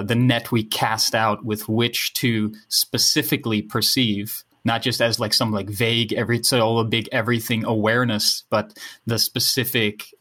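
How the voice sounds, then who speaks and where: A man's voice: lowish voice, pushed and bright. Man musing abstractly. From Netherlands. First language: Dutch. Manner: musing, abstract, many restarts. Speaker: podcast guest, writer. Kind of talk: casual podcast conversation.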